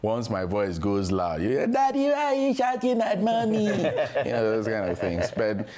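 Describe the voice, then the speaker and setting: A man's voice: mid-range voice, wildly swooping pitch, moderate pace, a little mellow. A man, a guest father in a candid podcast conversation, speaking conversationally and often adding "you know".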